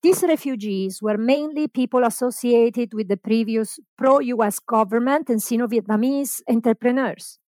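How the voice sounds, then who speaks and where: A woman hosting a podcast, introducing her guest and reading historical background in a steady, informative way. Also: fairly high pitch, normal speed, hardly any pauses, no echo. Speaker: podcast host.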